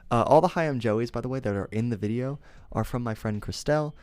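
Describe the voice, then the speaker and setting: A man's voice: medium pitch, fast talker, darkish tone, no room sound. A young man streaming, chatting casually and rambling, often correcting himself and laughing.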